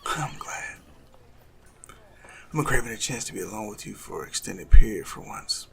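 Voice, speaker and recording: A man playing a suave, cunning assassin in love, speaking softly and formally in a romantic audio roleplay with a gravelly creak. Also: fairly low pitch, lively intonation, normal speed, slight background noise, heavily acted. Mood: tender, inviting. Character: lovestruck male assassin, suave, cunning, tenderly courting a noble. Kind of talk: romantic audio roleplay acting addressed to the listener.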